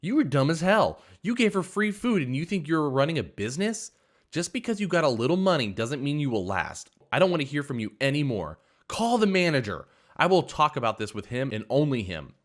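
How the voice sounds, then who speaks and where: A man voicing an entitled, spiteful older woman server who scolds and rants loudly. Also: huge pitch swings, moderate pace. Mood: shrill indignant. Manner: shrill, scolding, indignant.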